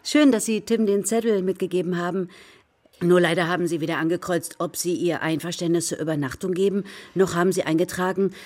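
A woman's voice: on the low side, fairly quick, a little mellow, close to an everyday voice. A woman playing a well-meaning, overeager primary school teacher in a children's radio play, speaking politely and a little hesitantly. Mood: flustered, insistent. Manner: polite, hesitant, rambling, eager to please. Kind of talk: naturalistic German radio drama acting.

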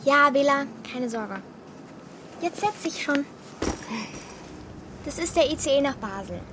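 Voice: high voice, animated, a little slow, noisy background. A performer playing a bold, resourceful young girl in a children's radio play, blunt and self-assured. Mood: confident, bossy.

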